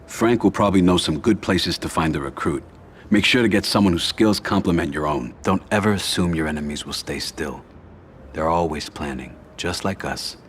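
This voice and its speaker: Game cutscene: actor voicing a low, measured crime boss, faint hiss underneath. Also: male voice, close to an everyday voice. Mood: advising. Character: older male mob boss advising his soldier, calm. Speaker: Michael, mafia boss.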